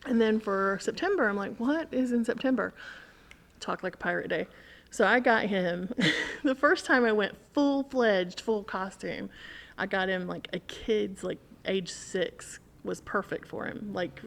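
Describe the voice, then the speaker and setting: A woman's voice: medium pitch, measured pace, gravelly, a bit bright. A woman telling a story in casual conversation as a podcast guest, animatedly acting out what people said.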